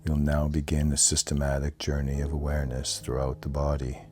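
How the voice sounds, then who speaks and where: Very deep-voiced man guiding meditation. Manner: calm, soothing, measured instruction. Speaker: yoga nidra guide. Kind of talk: guided meditation. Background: soft plucked guitar music.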